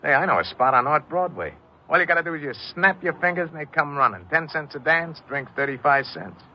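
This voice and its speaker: An actor playing a slick, pushy American small-time crook, slangy and cajoling, in an old-time radio crime drama. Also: male voice, fairly high pitch, animated, brisk, a little hiss or hum, natural voice. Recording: dull vintage radio recording, music behind.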